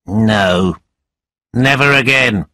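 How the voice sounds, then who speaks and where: A man voicing a scornful, sly, angry moneylender in a storybook, with a sneering, emphatic, drawn-out delivery. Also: fairly low pitch, pushed and bright, no room sound, pitched above the performer's own voice, heavily acted. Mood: accusing.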